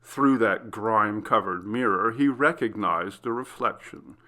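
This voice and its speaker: A man's voice: on the low side, measured pace, pushed and bright. A man reading a scripted horror story as its narrator.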